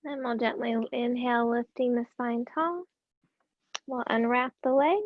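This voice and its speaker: A woman with a raspy voice teaching a yoga class, giving calm, gentle cues timed to the breath. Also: on the high side, measured pace, no room sound, narrow, tinny audio. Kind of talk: guided yoga class instruction.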